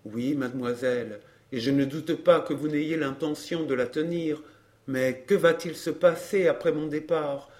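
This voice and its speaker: A man acts out a smooth, scheming gentleman suitor from an audiobook drama. His voice sits a little high for a man, and his speech is formal, theatrical and full of passion. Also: moderate pace, lightly acted. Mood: earnest pleading. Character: Georges, suave scheming suitor, pleading then violent.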